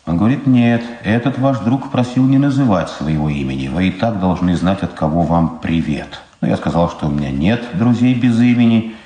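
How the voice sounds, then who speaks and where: An actor playing a proud, principled blind war veteran in a radio drama, measured, restrained and ironic. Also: male voice, low-pitched, dark tone, echoey room, lightly acted. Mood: guarded, matter-of-fact.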